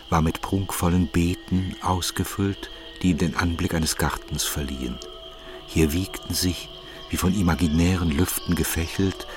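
A man reading scripted literary narration in a measured, formal manner. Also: sits low.

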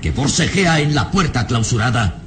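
An actor narrating a gothic radio adventure in a solemn, suspenseful, dramatic scripted style. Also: male voice, medium pitch, expressive, moderate pace, noisy background, lightly acted.

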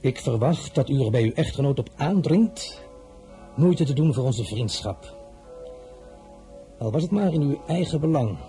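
An actor playing an imperious, boastful French emperor in a historical radio drama, pompous and declamatory, by turns mocking and threatening. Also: male voice, medium pitch, expressive, unhurried, long pauses, mellow, soft background music, natural voice. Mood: cold, menacing. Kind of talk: Dutch-language historical radio drama acting.

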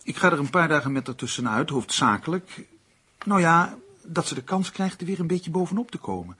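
An actor playing a tense, evasive husband in a radio play, with a husky, hesitant, placating way of talking. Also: male voice, on the high side, sing-song, fairly quick, pushed and bright, exaggerated voice. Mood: worried, hesitant. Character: tense, evasive husband taking a nervous woman away. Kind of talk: Dutch radio play acting.

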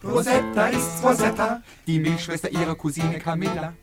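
Man acting warm villager, deferential, radio drama, loud guitar music. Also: on the high side, moderate pace, natural voice. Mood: affectionate. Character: warm, deferential villager greeting young master. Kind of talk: theatrical German radio play acting.

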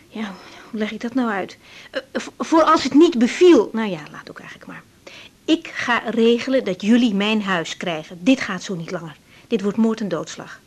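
A woman's voice: a little high, animated, normal speed. An actress playing an earnest, troubled young female doctor in a naturalistic radio drama, speaking in a direct, insistent, conversational way. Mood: firm, helpful. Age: young adult.

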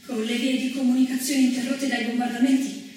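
A woman performing as an impassioned theatrical narrator of women's history, declaiming with dramatic pauses on a stage, recorded from a distance. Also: high-pitched, flat delivery, darkish tone, natural voice. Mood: grave, measured. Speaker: stage actress in a two-woman theatre play. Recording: echoey stage, distant mic, faint noise.

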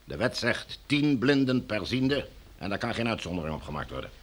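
Bright-voiced, domineering commander. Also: male voice, lowish voice, big pitch swings, brisk. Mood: firm, officious. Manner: formal, bureaucratic, firm. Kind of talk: Dutch radio drama acting.

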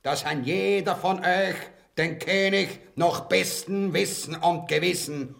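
Ein Schauspieler spielt in einer grotesken Hörspielfarce einen polternden, herrischen, vulgären Verschwörer mit gespielt feierlicher Art. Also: male voice, high voice, slow pace, vocal fry, a bit bright, heavily acted. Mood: bossy, solemn. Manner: mock-solemn, blustering, repetitive. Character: bumbling, bossy, vulgar male plotter and would-be usurper. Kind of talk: grotesque farce radio acting.

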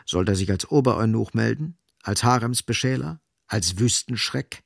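Low, gravelly male narrator. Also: expressive, moderate pace, dry recording, clean studio sound. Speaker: narrator of a radio drama. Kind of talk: literary scripted narration.

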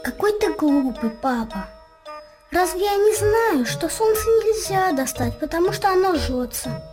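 A woman's voice: extremely high pitch, big pitch swings, a little slow, heavily acted. A woman playing a wistful, stubborn, sick six-year-old girl in a radio play, pleading in a childlike, weary way. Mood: weary, insistent. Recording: tinkling music under the voice.

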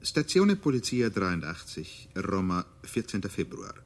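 An actor playing a stern, emphatic Italian police lieutenant delivering an official summons in a radio drama. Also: male voice, sits low, big pitch swings, unhurried. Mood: stern, threatening.

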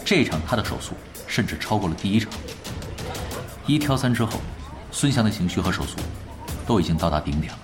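Calm gamer vet, lowish voice, analytical and to the point, music under him. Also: male voice, brisk, long pauses. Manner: terse, matter-of-fact, explanatory. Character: composed, astute male gaming veteran, coolly analytical. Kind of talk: audio drama dialogue.

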